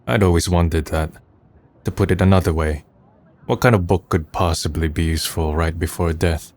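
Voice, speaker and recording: Man acting a low-voiced, weary, cynical young man. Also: steady tone, normal speed, a little mellow, a little hiss or hum. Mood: low-key, casual. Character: weary, cynical young man narrating his final days. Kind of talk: fan manga dub, first-person narration and dialogue.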